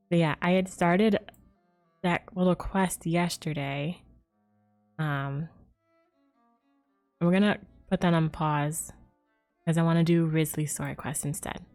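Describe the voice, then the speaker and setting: A woman chats casually as a game streamer, easygoing and a bit hesitant. Her voice is slightly low and soft-edged, with a very relaxed tone. Faint music plays behind her. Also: slow, pauses often. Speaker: livestreamer. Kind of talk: casual gaming stream chat.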